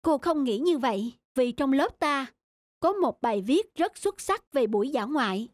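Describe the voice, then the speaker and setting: A woman voicing a gentle, caring schoolteacher in a cartoon, with a measured, instructive manner. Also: sits high, animated, dry recording, studio quality. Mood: earnest. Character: gentle, caring female schoolteacher addressing her class. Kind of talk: animated cartoon dubbing.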